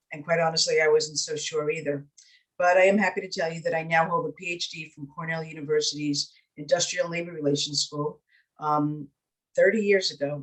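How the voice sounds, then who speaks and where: A woman's voice: sits low, low-quality recording. New woman in her fifties, panel intro. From New York City, Puerto Rican heritage. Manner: warm, personal, with frequent "um". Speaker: university provost, panelist.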